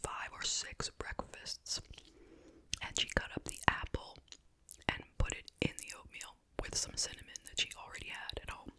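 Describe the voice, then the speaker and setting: A woman whispering softly and breathily in a relaxed, rambling, conversational ASMR style, as the host of an eating video. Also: moderate pace, low-quality recording. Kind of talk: whispered ASMR chit-chat, eating show. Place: at home in a residential house.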